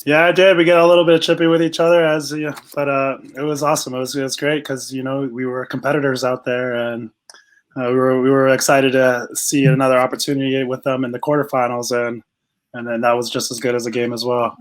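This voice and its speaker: Even-toned man, halting casual interview guest. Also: medium pitch. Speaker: guest, rugby coach and organizer.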